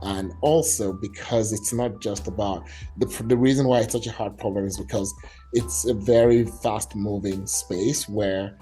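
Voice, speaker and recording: A man's voice: fairly low pitch, fairly even intonation, normal speed, dark tone, soft background music, poor audio. A man from Nigeria, a startup founder, explaining his app in a casual online meetup with enthusiasm and many fillers.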